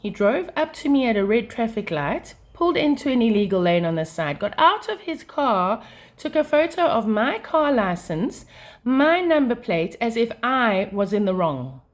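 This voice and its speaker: A South African woman venting about her drive, with frequent throat clearing as she gets over a sinus attack. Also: a little high, big pitch swings, normal speed. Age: adult mother of a schoolchild. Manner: frustrated, passionate, many fillers. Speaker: vlogger. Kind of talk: casual to-camera rant.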